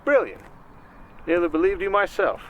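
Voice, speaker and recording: Man acting a slick, higher-voiced charming conman. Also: lively intonation, normal speed, muffled recording, slight background noise. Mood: breezy, cocky. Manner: slick, suave, old-fashioned wiseguy endearments. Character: smooth, cocky male Vegas conman, charming and flirtatious. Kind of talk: ASMR roleplay acting, intimate monologue.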